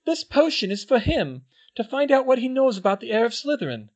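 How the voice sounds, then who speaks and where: A man voicing an earnest, anxious teenage schoolgirl witch in a high, pleading voice. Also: very animated, moderate pace, a little mellow. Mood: frightened, pleading. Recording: dull lo-fi recording.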